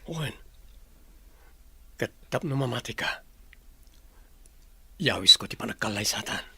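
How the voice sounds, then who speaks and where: A man's voice: medium pitch, expressive, measured pace, vocal fry, sharp tone, slightly rough, heavily acted. A man playing a sincere young suitor in an audio drama. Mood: tender, persuasive. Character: sincere young man, courting, done with his ex.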